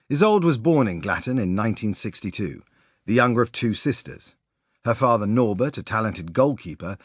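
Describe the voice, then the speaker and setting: A man reading a sports biography as an audiobook narrator, in an expressive, measured storytelling manner. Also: medium pitch, slightly rough, no room sound, clean studio sound.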